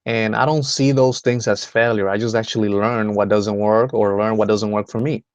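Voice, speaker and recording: A man's voice: on the low side, steady tone, normal speed, no room sound, lo-fi sound. A man from the Dominican Republic hosting a motivational video call, talking in a rambling way with many fillers and restarts. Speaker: host.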